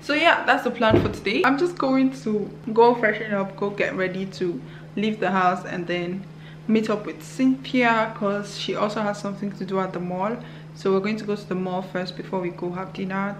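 A woman's voice: medium pitch, fairly even intonation, normal speed, rough recording. Young female vlogger, casual and chatty, handheld camera mic, faint room noise. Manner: chatty, laughs often, talks straight to viewers. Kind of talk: casual vlog chat to camera. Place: apartment indoors, later outdoors in a city. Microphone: handheld camera at arm's length.

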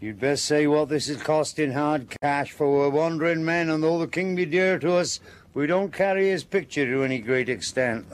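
An actor playing a bossy, wary sailor with archaic rustic seafaring speech in a radio drama. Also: male voice, fairly high pitch, normal speed, slight background noise, natural voice. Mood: hearty, courteous. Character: bossy wandering sailor, wary smooth talker.

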